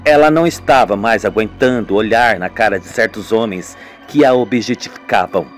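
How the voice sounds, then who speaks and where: A man narrating an amateur radio soap in a slow, deliberate way. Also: fairly low pitch, faint music behind.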